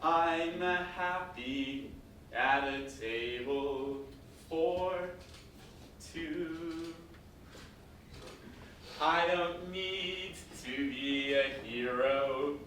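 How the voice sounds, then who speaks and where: A man's voice: a little high, very slow, pauses often, fairly bright tone. A man playing an earnest, lovestruck young nobleman in a staged musical reading, speaking in a declamatory, poetic manner. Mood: wistful, tender. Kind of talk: theatrical staged reading with a cappella singing. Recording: echoey hall, far mic, background hiss.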